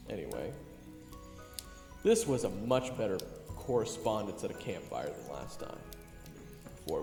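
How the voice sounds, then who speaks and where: A man plays a playful young adventurer with a hugely animated, slightly gravelly voice. He narrates his tale in a theatrical, joking way, in an echoey, reverberant space with music underneath. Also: low-pitched, full character voice. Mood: joking, boastful. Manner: theatrical, joking, conversational storytelling. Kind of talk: first-person audio drama narration and dialogue.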